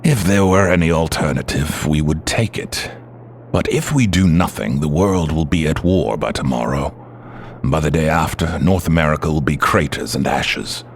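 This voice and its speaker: Man acting an aged, grave leader, extremely deep voice. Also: slight background noise. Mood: resolute. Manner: measured, formal, commanding oratory. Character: aged, grave male mutant leader in a wheelchair. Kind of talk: audio drama acting.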